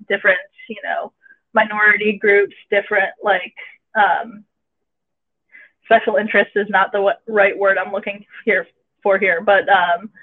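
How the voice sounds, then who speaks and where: A man on a podcast panel talking casually, searching for the right words as he goes. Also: sits high. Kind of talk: casual discussion. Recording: tinny phone-like line.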